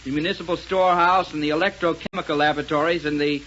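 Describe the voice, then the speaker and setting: Actor as keen radio operator, fast high male voice, deferential, muffled old serial. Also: fairly even intonation, fairly bright tone, lightly acted. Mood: eager, deferential. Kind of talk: 1930s radio serial acting. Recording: muffled 1930s radio recording, faint noise.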